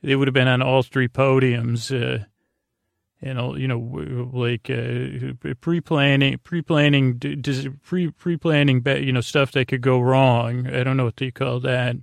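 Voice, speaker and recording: A man with a creaky voice hosting a bedtime sleep podcast, talking in a halting, meandering way full of tangents. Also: mid-range voice, little pitch movement, dry recording. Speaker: podcast host.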